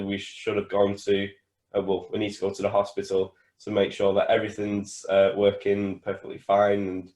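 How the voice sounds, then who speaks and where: A teenage male army cadet recounting something in a casual, halting way in an echoey room. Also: fairly low pitch, a little mellow.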